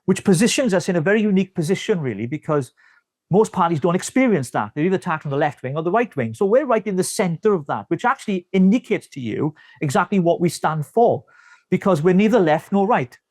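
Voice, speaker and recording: A man's voice: fairly high pitch, darkish tone, no echo, studio quality. A man with a Welsh accent, speaking emphatically as a party representative in a political interview. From Wales.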